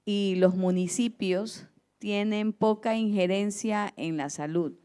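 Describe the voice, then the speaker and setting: A woman's voice: mid-range voice, fairly even intonation, slow pace, dark tone, clean tone. A woman from Ecuador with an Ecuadorian accent, giving a spontaneous comment in a class discussion. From Guayaquil, Ecuador. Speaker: participant in a health seminar.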